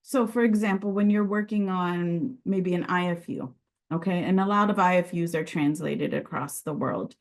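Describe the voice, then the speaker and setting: A woman's voice: on the low side, moderate pace, gravelly. Earnest woman, Sicilian first language. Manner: earnest, reflective, with frequent restarts. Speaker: podcast guest, regulatory and quality director. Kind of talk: conversational interview.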